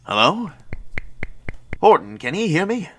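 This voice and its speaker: A man's voice: sits high, very animated, a little slow, a bit bright, slightly rough, full character voice. A man playing an earnest, protective inventor father in an audio drama, speaking in a hesitant, fatherly way. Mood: flustered.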